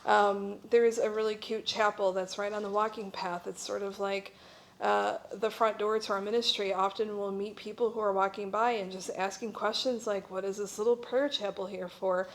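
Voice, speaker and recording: A middle-aged woman gives an informal talk as a missionary presenter. She explains things conversationally, in a mid-pitched voice. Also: level tone, moderate pace, creaky, slight background noise. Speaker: missionary speaker at a church breakout session.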